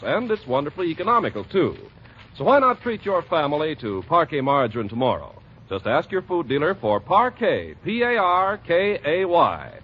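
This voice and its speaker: A man announces in scripted 1940s radio advertising style. His delivery is polished and emphatic, with a lively melody. The vintage broadcast is slightly dull, with faint noise. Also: on the high side.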